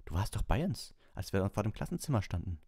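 A man's voice: lowish voice, big pitch swings, quick pace, mellow, slightly rough, pitched above the performer's own voice, heavily acted. Man reading as a puzzled, questioning schoolboy.

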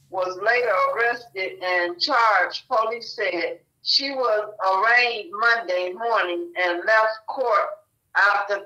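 Slow, bright-voiced woman reading news. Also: medium pitch, highs cut off. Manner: halting, stumbles over names. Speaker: co-host reading the news report. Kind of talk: reading a news article aloud.

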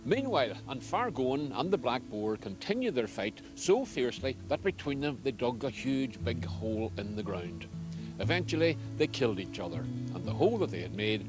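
A man's voice: mid-range voice, very animated, moderate pace, music in the background. A middle-aged man with a Northern Irish accent, presenting and interviewing for a television documentary. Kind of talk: scripted documentary narration and casual interviewing.

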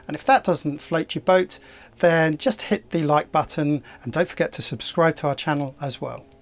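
A middle-aged man co-hosting a sailing channel, narrating and explaining the story in a measured way. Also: on the high side. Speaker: channel co-host. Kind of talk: narration and commentary.

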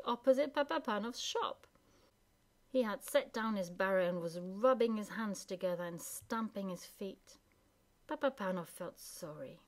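Woman narrating a storybook, mid-pitched.

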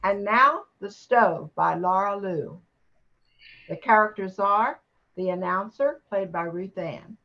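A woman's voice: mid-range voice, slow pace, highs cut off. An older woman announcing and narrating a scripted amateur radio play.

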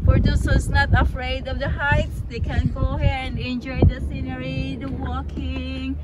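A woman's voice: high-pitched, level tone, measured pace. A middle-aged woman chatting casually outdoors for a travel vlog, speaking in short fragments with non-native phrasing. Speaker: vlogger. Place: outdoors on a high open wooden walkway. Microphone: phone held by someone a few steps away. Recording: muffled, distant phone audio with loud wind. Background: loud wind noise.

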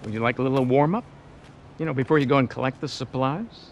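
An actor playing a grandiose, earnest stoner activist in a game cutscene, scattered and zealous. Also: male voice, mid-range voice, fast, a little hiss or hum, close to an everyday voice. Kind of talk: video game cutscene acting.

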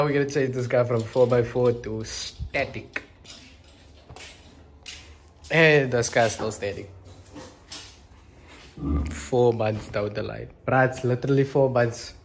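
A man's voice: mid-range voice, slow pace, pauses often, a bit bright, a little hiss or hum. A young South African man vlogging casually in his home garage, laughing a lot and tossing in slang. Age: young adult. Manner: laughing often, slangy, says bruh a lot. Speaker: car vlogger.

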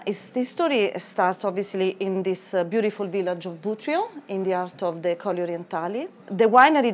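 A woman in her thirties with an Italian accent, a wine merchant describing a wine carefully with frequent hesitations in a wine cellar. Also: mid-range voice. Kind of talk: tasting discussion.

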